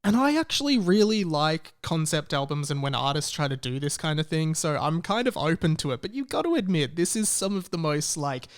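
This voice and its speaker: Higher-voiced man reviewing music casually. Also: very animated, a little fast, few pauses. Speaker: music reviewer and show host. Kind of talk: casual album review commentary. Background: music under the voice.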